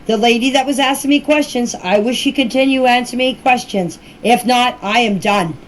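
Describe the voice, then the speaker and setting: A woman's voice: fairly high pitch, moderate pace. A woman giving a defensive, insistent personal account in answer to questions, speaking emphatically in an informal voice message. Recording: noisy amateur voice-clip recording.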